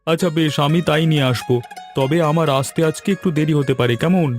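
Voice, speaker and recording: A man's voice: a little high, brisk, faint music behind, lightly acted. A man voicing a chatty, grumbling household husband in a cartoon, with exclamatory delivery. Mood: agreeable. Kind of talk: cartoon dialogue acting.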